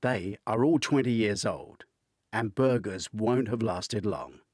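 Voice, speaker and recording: A man's voice: lowish voice, takes it slowly, a little mellow, no echo, clean studio sound. An older man, an author reading his own thriller as audiobook narration.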